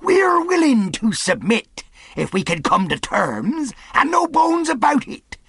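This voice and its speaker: Storybook pirate leader, acted by a male narrator: sly, jovial, folksy, loud, pitched well up, swooping. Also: huge pitch swings, unhurried, creaky, breathy edge, no room sound, studio quality, exaggerated voice. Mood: bold, bargaining. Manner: bellowing, folksy, bold. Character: Long John Silver, cheery cunning male pirate leader.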